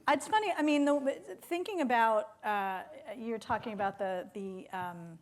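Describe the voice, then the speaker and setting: A woman moderating a live conversation as interviewer, phrasing her thoughts in a tentative, halting way. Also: on the high side, lively intonation.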